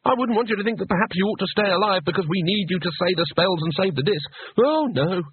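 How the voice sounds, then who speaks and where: A man acts out a naive, helpful fellow, earnest and literal-minded to a fault. The pitch sits high and jumps about, with a husky edge. It is comic fantasy storytelling with bluntly matter-of-fact delivery. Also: sing-song, fairly quick, no room sound, narrow, tinny audio, heavily acted. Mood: goading.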